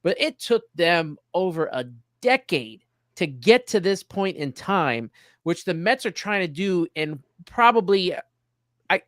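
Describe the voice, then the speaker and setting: A man's voice: on the high side, fairly bright tone, no echo, studio quality. A man hosting a conversational sports show, talking through his points in an analytical way.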